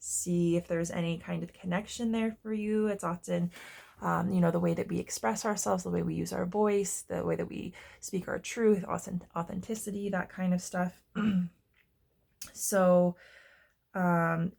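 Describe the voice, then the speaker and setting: A woman giving a casual tarot reading to her viewers, congested and hoarse, chatty and often stopping to search for words. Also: lowish voice, fairly even intonation, measured pace, darkish tone. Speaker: tarot reader.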